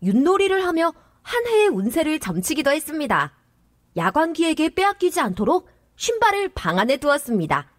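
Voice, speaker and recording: A woman narrates a short educational clip, reading her script in an even, explanatory way. Her voice is high and lively and runs very fast, and it sounds dry and close, with no room echo.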